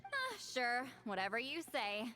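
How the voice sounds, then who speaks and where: A woman's voice: fairly high pitch, wildly swooping pitch, unhurried, over music. An actress playing a feisty, domineering shaman elder in a video game, with a brash, teasing manner. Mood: scornful. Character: feisty, domineering female shaman elder, nicknamed granny, youthful-sounding.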